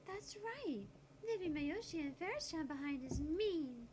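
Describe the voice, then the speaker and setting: A woman's voice: high-pitched, expressive, unhurried, a little mellow. A woman voicing a sweet, airheaded young girl working as a cat-maid, childlike and adding cat sounds to her words, recorded with background noise. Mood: whiny. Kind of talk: amateur visual novel voice reading.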